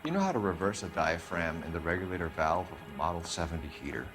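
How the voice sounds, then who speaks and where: Low-pitched, accusatory police detective. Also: male voice, animated, fast, music in the background. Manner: confrontational, pressing, accusatory. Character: stern, accusatory male police detective interrogating suspects. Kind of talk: video game drama acting.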